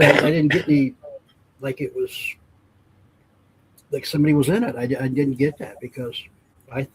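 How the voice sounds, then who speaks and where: A man with a raspy voice chatting casually as a podcast co-host, rambling and repeating himself. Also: mid-range voice, slight background noise, low-quality recording. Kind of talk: casual chat.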